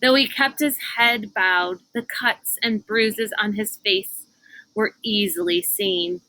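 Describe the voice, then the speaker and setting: A woman reading a children's novel aloud as narrator, with expressive, deliberate, dramatic phrasing. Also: a little high, creaky, poor audio.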